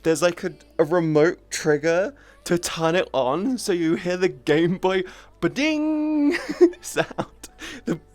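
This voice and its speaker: A woman's voice: lowish voice, expressive, slow pace, darkish tone, dry recording. A woman live streamer chatting casually with viewers in an informal, sweary, rambling way. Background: background music.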